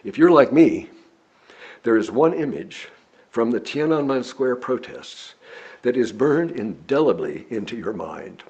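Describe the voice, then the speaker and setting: A man reads a written sermon aloud in a measured, deliberate, oratorical way, with a husky voice. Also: medium pitch, lively intonation, darkish tone. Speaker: lay preacher reading a written sermon. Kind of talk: sermon read from a script. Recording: dull, lo-fi recording.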